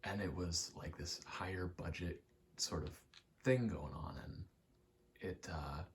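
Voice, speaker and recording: A young man in a casual, halting ASMR ramble, speaking softly and often slipping toward a whisper, with a self-deprecating, sweary manner. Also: low voice, gravelly, slight background noise. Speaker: ASMR creator. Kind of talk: rambling ASMR, casual personal chat while gaming.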